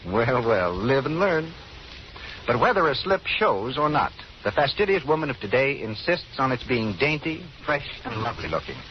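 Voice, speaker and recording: Animated male old-time radio announcer. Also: medium pitch, moderate pace. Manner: polished, theatrical, formal. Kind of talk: 1930s radio announcing and sponsor talk. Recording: muffled old radio recording, faint hiss.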